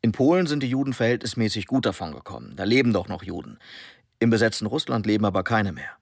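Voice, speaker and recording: An actor playing a callous captured German soldier in radio drama dialogue, confiding in a matter-of-fact way. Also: male voice, on the low side, expressive, quick pace, vocal fry, husky, no echo, studio quality, full character voice.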